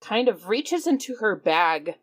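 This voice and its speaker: A woman taking part in a tabletop role-playing game as a player. She has a middle-pitched voice and casually describes her character's actions and thinks out loud. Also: sing-song, unhurried.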